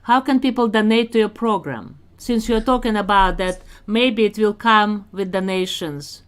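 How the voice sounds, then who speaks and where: A woman from Russia with a Russian accent, hosting an online community interview in a measured, encouraging way. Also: mid-range voice, clean tone. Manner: measured, encouraging, unhurried with fillers. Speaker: host.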